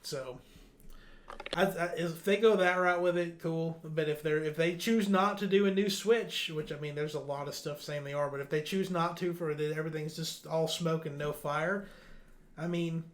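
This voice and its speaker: A man's voice: fairly high pitch, steady tone, moderate pace. A man hosting a casual gaming news discussion, talking bluntly and informally, with some loose swearing. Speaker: gaming podcast host.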